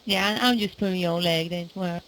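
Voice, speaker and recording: A woman roleplaying in an online survival game, speaking in a folksy, gently teasing way. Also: fairly low pitch, fast talker, gravelly, a little hiss or hum, rough recording. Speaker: roleplay player. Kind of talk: in-character game roleplay chat.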